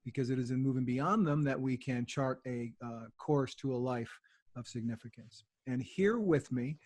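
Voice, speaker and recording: A man's voice: mid-range voice, moderate pace, darkish tone. A man co-hosting a podcast, introducing a guest in an upbeat, chatty way and speaking straight to the listeners. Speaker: podcast co-host.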